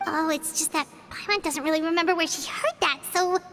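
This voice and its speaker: A voice actor playing a small, excitable female fairy companion who talks about herself in the third person. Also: very high voice, brisk, in a large hall, faint music behind. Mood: unsure. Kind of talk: game voice acting.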